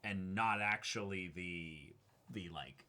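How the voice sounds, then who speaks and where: A young man co-hosting a show, chatting casually and reading aloud with halting, thoughtful phrasing. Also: deep voice, sharp tone. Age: young adult. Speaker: co-host of an unboxing show. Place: small indoor room.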